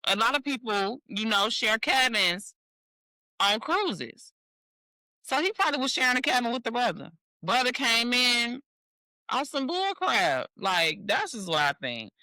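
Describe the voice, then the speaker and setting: A woman's voice: on the high side, sing-song, unhurried, bright, forceful tone, no echo, low-quality recording. A woman in her forties giving blunt, opinionated commentary on a news story, speaking straight to the viewer. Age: 40s. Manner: blunt and direct, talks straight to the viewer as "sis". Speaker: vlogger.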